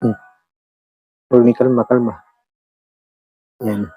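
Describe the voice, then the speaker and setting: A mellow, slightly rough-voiced Filipino vlog host with a Visayan accent talks casually to listeners. The delivery is halting, repetitive and apologetic, on an even pitch, recorded dry and close. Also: dry recording. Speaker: vlog host who shares radio dramas. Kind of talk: casual rambling chat to listeners.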